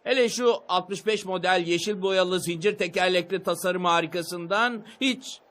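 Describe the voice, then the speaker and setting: A man's voice: sits high, moderate pace, background noise, lightly acted. A man playing a boastful, laid-back young car fanatic in a Turkish-dubbed cartoon. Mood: grumbling.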